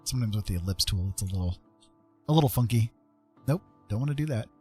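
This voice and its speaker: A man's voice: on the low side, expressive, fast, breathy edge. A man hosting a casual art livestream, playful and self-deprecating, chatting to viewers and muttering to himself as he works. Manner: muttering to himself while working. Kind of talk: casual livestream chat while demonstrating digital art. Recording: soft background music under the voice. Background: soft music.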